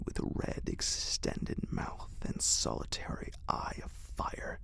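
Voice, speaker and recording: A man reading a horror story as whispered ASMR, theatrical and deliberate, with a breathy, husky voice close to the microphone. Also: rumbling low, a bit bright, no room sound. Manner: theatrical, deliberate phrasing with dramatic swells.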